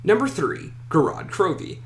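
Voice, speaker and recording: Echoey man's voice with lively, swinging pitch. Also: moderate pace, soft background music. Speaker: list video host. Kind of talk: scripted countdown commentary. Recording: echoey room, faint noise.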